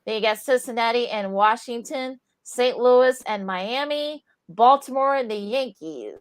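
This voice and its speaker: A woman's voice: on the high side, a little slow, clear, resonant tone. A woman co-hosting a casual sports radio show, thinking aloud with many "you know"s and trailing hedges.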